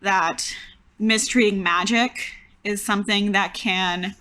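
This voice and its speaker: A woman's voice: mid-range voice, steady tone, takes it slowly, sharp tone. A woman casually discussing story ideas and rules as a player in a tabletop roleplaying game.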